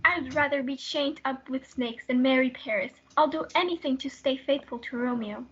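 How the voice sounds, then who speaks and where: Young woman playing lovestruck teenage girl, desperate and defiant, high voice, stage play, muffled lo-fi recording. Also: normal speed, a bit bright, breathy edge. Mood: resolved.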